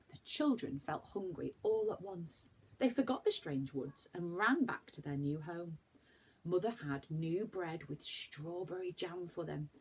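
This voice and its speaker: Lively woman reading a children's story. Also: lowish voice, breathy edge. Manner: animated, deliberate storytelling for children. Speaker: teacher reading a storybook aloud. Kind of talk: expressive children's story narration.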